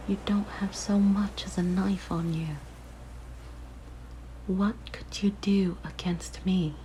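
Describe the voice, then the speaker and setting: A woman voicing a lonely, curious, predatory siren in an ASMR roleplay, with a soft, breathy, mostly whispered voice and a hushed, musing, gently menacing manner. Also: fairly low pitch, poor audio. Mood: hungry, menacing. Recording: faint background noise.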